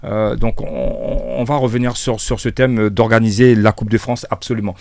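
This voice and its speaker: A man's voice: on the low side, normal speed, gravelly, a little mellow, no echo, clean studio sound. A man hosting a live radio interview, asking wordy, hesitant questions that circle back on themselves. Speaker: radio host. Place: radio studio.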